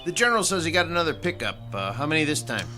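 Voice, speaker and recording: An actor playing an easygoing army sergeant in a radio drama, casual and slangy. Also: male voice, on the high side, sing-song, fast talker. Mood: breezy. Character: breezy, easygoing male army sergeant driver. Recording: music under the voice.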